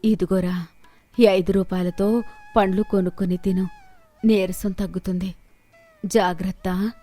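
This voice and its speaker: A woman's voice: medium pitch, little pitch movement, unhurried, warm tone, faint music behind, natural voice. A woman voicing a poor, caring, outspoken village vegetable seller in a storybook tale, plaintive and homely. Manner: plaintive, emphatic, homely scolding.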